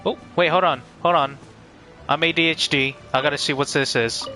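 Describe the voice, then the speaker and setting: A man's voice: a little high, steady tone, moderate pace, bright, forceful tone, soft background music. A man giving casual, rambling live commentary as he streams a game.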